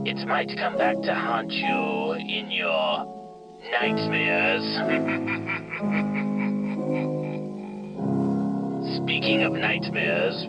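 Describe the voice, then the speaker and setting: A man playing a gleefully sinister horror radio host, speaking in a slow, drawn-out, mock-menacing way. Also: low voice, voice pushed down, exaggerated voice. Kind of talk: old-time radio horror anthology hosting. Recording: muffled lo-fi recording, loud music behind.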